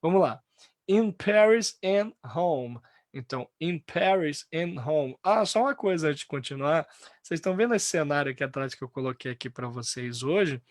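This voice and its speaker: A Brazilian man teaching an online English lesson, lively and full of fillers and restarts. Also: fairly high pitch, moderate pace, no echo, clean studio sound.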